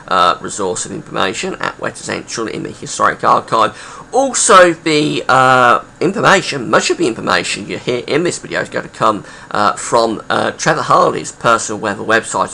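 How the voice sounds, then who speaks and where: Guy with a croaky rough voice, chatty weather host, lots of uhs, bouncy pitch. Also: bright, forceful tone, a little hiss or hum. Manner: chatty, rambling with many uh fillers. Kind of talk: casual narrated weather history talk.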